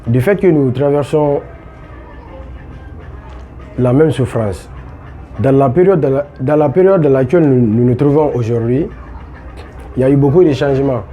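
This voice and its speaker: A man from Guinea with a Guinean French accent reasoning in a measured way in a street interview. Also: medium pitch, dark tone.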